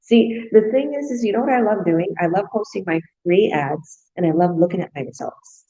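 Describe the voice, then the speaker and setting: A woman's voice: lowish voice, fairly quick, warm tone. A Canadian woman hosting a casual live stream, chatting enthusiastically and laughing often. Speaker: host. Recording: dull lo-fi stream audio.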